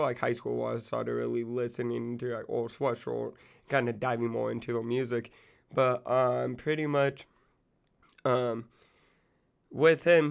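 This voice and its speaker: A man's voice: mid-range voice, gravelly, clean tone. A young man in his twenties who is recovering from an illness and losing his voice, rambling casually about music with lots of fillers and swearing. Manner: rambling, loose, full of fillers and profanity. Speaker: music channel host.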